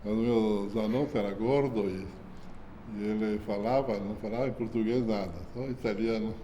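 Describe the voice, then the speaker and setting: An older man reminisces in a casual interview. He rambles in a folksy way, tags his sentences with "né?" and chuckles softly. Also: on the low side, steady tone, moderate pace, slight background noise. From southern Brazil. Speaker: company founder being interviewed.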